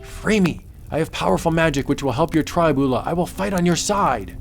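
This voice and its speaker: A man voicing an excitable, determined explorer anthropologist in a melodramatic comic book audiobook. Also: on the high side, fairly quick, vocal fry, faint music behind, pitched above the performer's own voice. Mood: alarmed.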